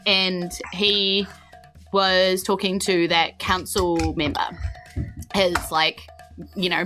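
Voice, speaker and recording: A woman, chatting and narrating informally as the game master of a tabletop role-play session. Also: on the low side.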